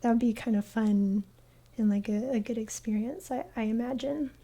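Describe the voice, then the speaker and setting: A young woman streaming a game, chatting in a soft, hesitant way with lots of fillers. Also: fairly high pitch, moderate pace. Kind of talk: casual gaming stream chat.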